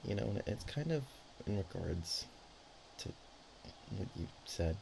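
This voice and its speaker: Man playing a low, creaky, flustered dragon girl. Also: animated, full character voice. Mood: bashful, awkward. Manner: hesitant, stammering, halting. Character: flustered, bashful dragon girl, teasingly threatening, affectionate. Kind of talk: intimate ASMR roleplay. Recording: faint background noise.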